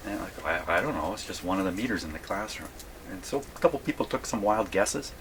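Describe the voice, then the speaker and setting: A man's voice: low voice, lively intonation, quick pace, fairly bright tone, a little hiss or hum. An older man giving a casual workshop demonstration, thinking aloud in a rambling, self-deprecating, folksy way. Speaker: vintage radio repair hobbyist presenting a video. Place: indoor radio repair workshop.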